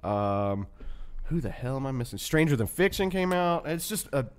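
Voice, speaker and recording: A man's voice: mid-range voice, big pitch swings, moderate pace, smooth. A man co-hosting a podcast in casual chat, enthusiastic and free with swearing. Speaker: podcast co-host. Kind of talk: casual chat listing favorite albums and films.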